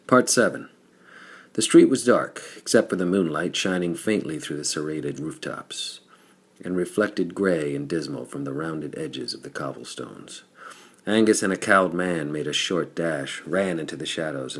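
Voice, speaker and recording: Male audiobook narrator, very deep bass with a rough husk, scripted pulp adventure storytelling. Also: unhurried. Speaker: audiobook reader.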